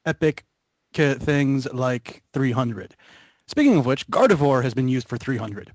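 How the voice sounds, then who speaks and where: Young man, mid-pitched voice, dry recording, casual group chat, slightly hesitant explaining. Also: lively intonation, measured pace, no echo. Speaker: member of an online gaming video group.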